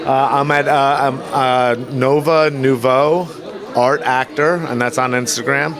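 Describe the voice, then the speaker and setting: A man's voice: medium pitch, fairly even intonation, a little slow. A man, an artist, speaking hesitantly and politely in a casual interview at a crowded event. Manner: hesitant, many fillers and restarts, polite. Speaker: guest artist. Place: crowded indoor event hall. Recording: crowd chatter behind.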